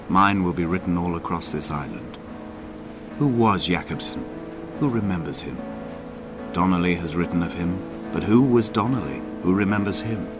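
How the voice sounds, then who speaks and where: Male actor as injured grieving castaway, lowish male voice, solemn literary narration, feverish and brooding, video game monologue, loud music behind. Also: natural voice. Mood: solemn, resolute. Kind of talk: dramatic scripted narration, poetic monologue.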